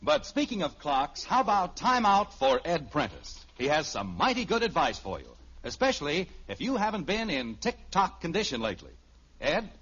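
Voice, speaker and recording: A man announcing a 1950s radio show in a husky voice. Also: a little high, wildly swooping pitch, normal speed, fairly bright tone. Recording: dull vintage radio recording.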